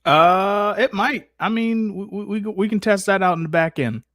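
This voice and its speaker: A man's voice: sits high, normal speed, fairly bright tone, no echo. Casual, slangy man hosting, very dry sound. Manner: informal, slangy, playfully exasperated. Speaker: host of a character Q&A livestream. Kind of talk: casual improvised chat.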